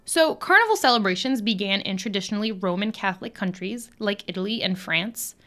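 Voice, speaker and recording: An American woman co-hosting a podcast, reading prepared history facts in a clear, upbeat way. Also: mid-range voice, expressive, a little fast, over loud music. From United States. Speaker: podcast co-host. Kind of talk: scripted podcast narration.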